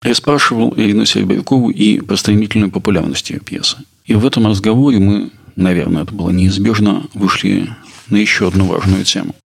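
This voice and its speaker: A man's voice: on the low side, fairly even intonation, a little fast, vocal fry, breathy edge. A man hosting a podcast, reading scripted narration that links the segments. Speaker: podcast host.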